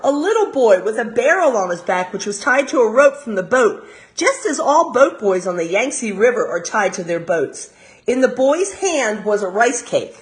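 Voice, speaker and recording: An American woman reading a storybook aloud as a teacher of young children, expressive and pausing to explain in simple asides. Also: mid-range voice.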